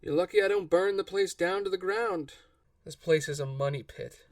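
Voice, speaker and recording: A man's voice: sits high, wildly swooping pitch, moderate pace, vocal fry, very noisy, voice pushed up, heavily acted. A man acting a bullying, imperious governor in an audio drama, with a domineering, threatening way of talking. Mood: cruel, smug. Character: bullying corrupt male governor, menacing and imperious.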